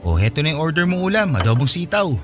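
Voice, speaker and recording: A persistent young boyfriend in a radio drama. He talks quickly with a lively, swinging, slightly high voice, questioning and teasing, over background music. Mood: teasing, casual. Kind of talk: Tagalog radio drama acting. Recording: music behind the voice.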